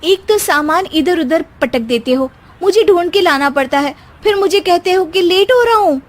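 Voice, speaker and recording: A woman voicing a tired young housewife in a cartoon who answers her husband with sharp complaints. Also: extremely high pitch, lively intonation, normal speed, pitched above the performer's own voice. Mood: complaining.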